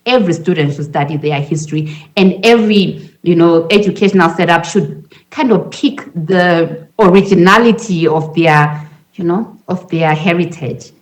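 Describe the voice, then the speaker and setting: A woman with a Zimbabwean accent and a slightly low voice speaks as a panelist. She makes her case off the cuff, emphatic and persuasive but conversational. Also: poor audio.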